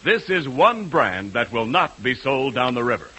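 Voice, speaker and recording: A man's voice: mid-range voice, sing-song, normal speed. A male announcer reading a 1940s radio advertisement in an emphatic, declarative sales style.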